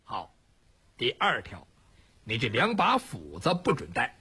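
Male storyteller as composed outlaw leader, lowered husky voice, deliberate and admonishing. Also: wildly swooping pitch, bright, forceful tone, full character voice. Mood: firm. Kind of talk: traditional Chinese storytelling performance.

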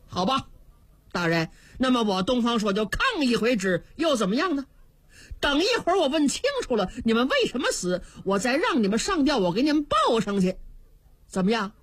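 A woman's voice: on the high side, very animated, normal speed, breathy edge, dry recording. A woman voicing a clever, mocking male court official in a told story. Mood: sly. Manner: teasing, sly.

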